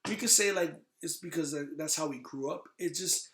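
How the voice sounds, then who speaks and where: A man's voice: fairly high pitch, fairly quick, fairly bright tone. A man chatting casually as a podcast guest, rambling in an emphatic, slangy way. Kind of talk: casual chat. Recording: echoey room.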